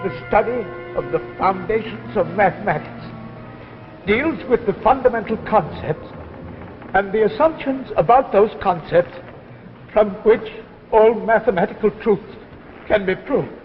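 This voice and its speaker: A dull, vintage radio drama recording with music in the background. a worn-out professor with a notably high voice. He is learned, hesitant and submissive. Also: animated, unhurried. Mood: weary, submissive. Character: weary, submissive older male professor and father. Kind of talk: 1950s radio drama acting. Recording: muffled old radio recording with music behind.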